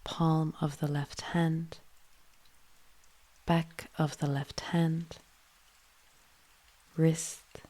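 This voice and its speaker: A woman leading a guided yoga nidra meditation in a soothing, hushed manner, with phrases often trailing into breath. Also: deep voice, little pitch movement, extremely slow, long pauses.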